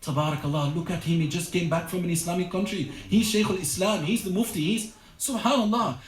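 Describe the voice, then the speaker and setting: Man, high pitch, earnest religious teacher, roomy echo; a lecture circle talk. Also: brisk. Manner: earnest, exhortative, with frequent restarts. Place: lecture room.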